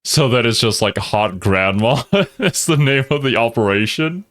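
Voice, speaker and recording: A man's voice: medium pitch, lively intonation, moderate pace, fairly bright tone, no echo. A man who natively speaks Thai, reacting as a video host with casual, musing, rambling commentary.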